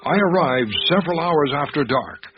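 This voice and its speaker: An actor playing an anxious, literate male doctor who tells an eerie mystery in a radio drama, with measured, expressive delivery. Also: on the high side, music in the background, natural voice. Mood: wry, measured. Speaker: narrator and lead character. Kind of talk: first-person radio drama narration and dialogue. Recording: noisy, dull radio drama recording.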